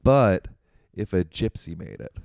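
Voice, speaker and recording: A man from Washington, D.C., a comedian guest telling a story in a laid-back, halting way. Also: deep voice, big pitch swings, creaky, a little mellow, no echo, clean studio sound. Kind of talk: casual comedy podcast chat.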